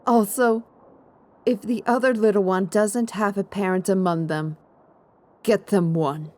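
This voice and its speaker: A woman playing a gruff, curt mercenary pony mare who gives orders in an audio drama. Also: medium pitch, fairly even intonation, measured pace, a little mellow, lightly acted. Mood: commanding, brisk. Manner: commanding, curt.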